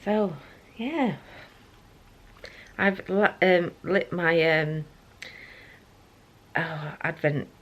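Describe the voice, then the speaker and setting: A middle-aged woman with a croaky, just-woken voice, chatting casually to her camera at home in the morning, rambling with lots of fillers. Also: lowish voice, drawn-out words, pauses often, fairly bright tone. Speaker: vlogger. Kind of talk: casual morning vlog chat. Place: family home kitchen.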